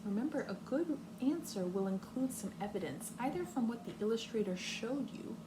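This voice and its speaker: A woman teacher reading a story aloud to young children in an expressive, deliberate way, pausing to put questions to them. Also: fairly high pitch, background noise.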